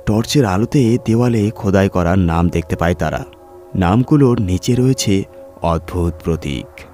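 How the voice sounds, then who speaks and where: A man's voice: low-pitched, moderate pace, warm tone. A man reading a scripted horror story as its narrator. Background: music.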